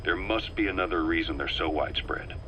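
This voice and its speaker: An actor playing a shrewd male intelligence officer who talks in a measured, persuasive and faintly sardonic way. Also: sits low, dull audio, a little hiss or hum, natural voice. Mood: analytical. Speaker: advisor on a recorded tape. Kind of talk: video game voice acting.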